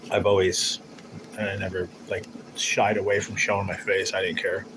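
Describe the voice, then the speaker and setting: A man's voice: low-pitched, flat delivery, normal speed, noisy background. A man hosting a casual livestream, chatting in a rambling, teasing way as he reads viewer comments. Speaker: livestream host.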